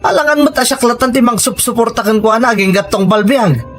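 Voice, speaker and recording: A man's voice: very high voice, brisk, a bit bright, soft background music. A man with a Filipino accent playing a struggling, hard-hearted carpenter husband in an audio drama, blunt and insistent. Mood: stubborn, insistent. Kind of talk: scripted audio drama acting.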